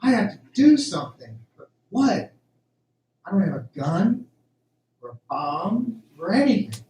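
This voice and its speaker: Man playing slow-talking, excitable young hero in roomy sound. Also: high-pitched. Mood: determined. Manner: earnest, exclamatory, thinking aloud. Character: Jack, excitable farm-raised young hero facing aliens. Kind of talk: audio drama acting. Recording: roomy, distant, music underneath.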